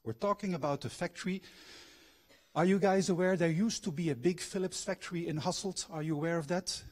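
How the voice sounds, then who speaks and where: Middle aged guy telling stories in a lecture, bit husky, voice on the higher side. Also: brisk. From Belgian, grew up abroad. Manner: engages audience with questions. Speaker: guest lecturer, former factory manager and trained coach. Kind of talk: public lecture with personal anecdotes. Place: university lecture hall.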